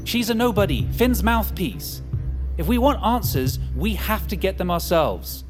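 A man's voice: high voice, lively intonation, a little slow, over music. An actor playing a suspicious, defiant man who argues and takes command of a trapped group in an audio fiction drama. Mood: agitated, scornful. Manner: argumentative, commanding, sarcastic.

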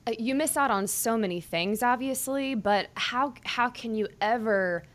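Earnest woman interviewer, halting speech. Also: a little high, fairly bright tone. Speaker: sports beat reporter and podcast host. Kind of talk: conversational interview.

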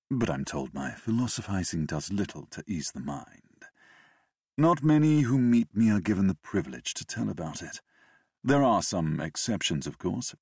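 A man's voice: deep voice, vocal fry, darkish tone, husky, no room sound, exaggerated voice. A man with a British accent, reading as an ironic, brooding male Death in an audiobook. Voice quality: dry, ironic, philosophizing. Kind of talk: audiobook narration.

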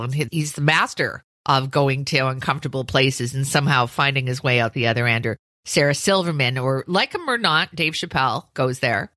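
A middle-aged woman co-hosting a casual podcast, her voice sitting low with a bright, forceful edge. Her jokes come out dry and wry. The sound is close and dead, with no room around it. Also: no room sound. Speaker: podcast co-host. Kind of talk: casual chat, interviewing.

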